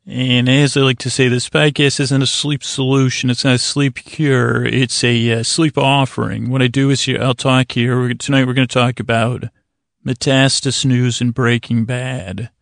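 A man with a creaky voice hosting a bedtime podcast, talking in a soothing, meandering monologue with halting pauses and digressions. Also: medium pitch, little pitch movement, fairly bright tone, no echo, studio quality. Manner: soothing, lulling delivery. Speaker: podcast host.

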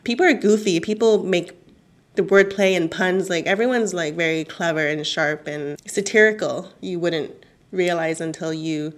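A woman of Chinese origin whose first language is Cantonese, speaking as a journalist guest in a radio interview, animated and laughing often. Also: lowish voice, normal speed.

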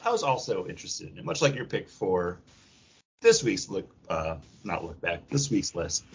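A man's voice: deep voice, very animated, moderate pace, creaky, breathy edge, highs cut off. A man co-hosting a podcast in casual, jokey, digressive chat, slipping into imagined quotes. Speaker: podcast co-host. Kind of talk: casual comic book discussion.

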